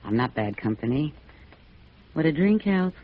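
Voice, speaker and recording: An actress playing a bitter, flirtatious, hard-drinking wife in a radio noir drama, languid and evasive. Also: female voice, low voice, big pitch swings, normal speed. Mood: sultry, teasing. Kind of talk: 1950s radio noir acting. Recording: dull vintage radio recording.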